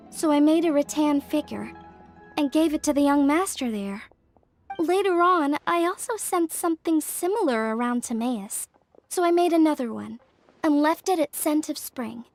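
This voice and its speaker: A young woman voicing an earnest craftswoman from a fortune-telling clan, explaining things in a modest, careful way. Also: high voice. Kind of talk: video game voice acting. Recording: game cutscene with faint music.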